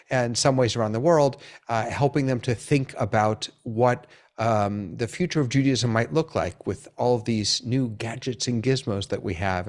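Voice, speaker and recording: An American man, a rabbi and educator, introducing himself on a panel with self-deprecating jokes. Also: lowish voice. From United States. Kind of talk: casual panel chat.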